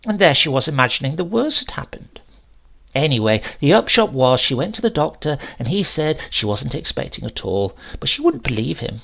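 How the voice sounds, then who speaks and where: A man's voice: fairly high pitch, very animated, a little fast, gravelly, a bit bright, full character voice. A man voicing a chatty, defensive landlady of nearly seventy in a horror story reading, rambling and confiding in colloquial phrases. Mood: gossipy, insistent. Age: older.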